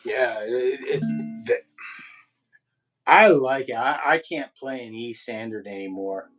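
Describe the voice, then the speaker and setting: Slow-talking man rambling about guitar. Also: a bit bright, rough recording. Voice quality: low voice. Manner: rambling, halting, many fillers and restarts. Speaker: podcast co-host. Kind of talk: casual chat about guitar.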